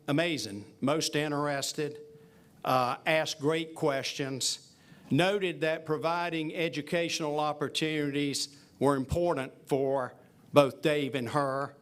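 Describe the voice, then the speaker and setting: A man on a university faculty giving a ceremonial speech, telling a story with some halting fillers and restarts. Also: on the high side, a bit bright, clear, resonant tone.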